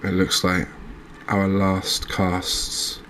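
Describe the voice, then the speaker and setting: Slow, low-voiced man, cozy ASMR gamer. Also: completely flat delivery, a bit bright, a little hiss or hum. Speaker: host, video game streamer. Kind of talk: ASMR cozy fishing game commentary.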